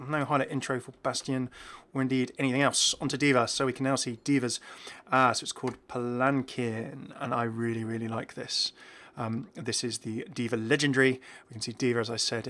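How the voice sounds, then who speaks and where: A man's voice: medium pitch, a bit bright. A man hosting a gaming video, talking through game cosmetics with casual, enthusiastic, rambling reactions.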